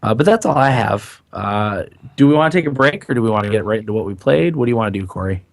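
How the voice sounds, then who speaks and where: A man with a raspy, hoarse voice that is recovering, chatting casually and a bit haltingly as a podcast host. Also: fairly low pitch, expressive. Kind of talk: casual chat.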